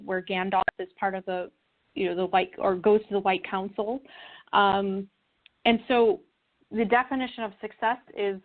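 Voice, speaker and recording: Earnest woman guest on a phone-like line. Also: mid-range voice. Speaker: interview guest. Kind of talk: conversational explanation. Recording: narrow phone-like call line.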